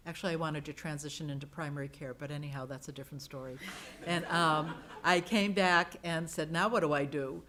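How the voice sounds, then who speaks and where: A middle-aged woman with a quite low voice speaks on a panel. She tells an anecdote in a relaxed, storytelling way. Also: brisk, a crowd in the background. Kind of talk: panel discussion.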